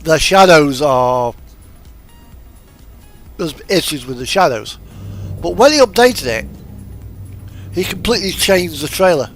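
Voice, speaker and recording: A man's voice: on the high side, lively intonation, a little slow, pauses often. A man giving casual, rambling gameplay commentary, hesitant and thinking aloud as he goes. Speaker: host of a truck simulator gaming video. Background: music from an in-game radio.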